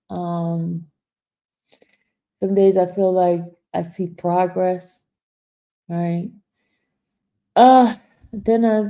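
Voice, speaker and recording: Mellow-voiced woman in her fifties chatting. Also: lowish voice, creaky. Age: 50s. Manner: chatty and teasing, addresses viewers by name; earnest and reflective when talking about stress. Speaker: livestream host reading viewer comments. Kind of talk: casual live-stream chat.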